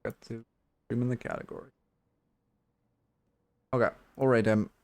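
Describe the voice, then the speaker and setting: A hoarse man giving blunt, rambling game coaching notes on a livestream, full of fillers and restarts. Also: mid-range voice, steady tone, normal speed, a little mellow, dry recording. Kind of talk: casual livestream coaching talk.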